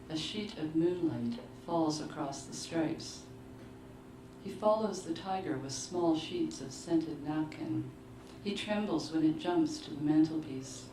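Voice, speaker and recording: A woman giving a measured, deliberate literary reading of short fiction at a live book launch. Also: deep voice. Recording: roomy, distant mic, clearly noisy live venue.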